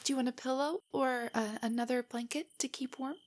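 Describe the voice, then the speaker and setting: A woman playing a shy, nerdy young girlfriend in an intimate audio roleplay, soft and breathy, stammering with nerves. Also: high voice, fairly even intonation, fairly quick, slight background noise, lightly acted. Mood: nervous, shy. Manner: nervous, stammering, hesitant rambling. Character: shy, nerdy, easily flustered young adult girlfriend on a date.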